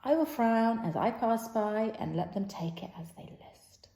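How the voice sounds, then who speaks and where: A woman playing a boastful, quarrelsome male servant in an old stage play, in a halting declaimed delivery that moves between muttering and projecting. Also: fairly high pitch, expressive, dark tone. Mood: defiant. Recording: roomy, echoing sound.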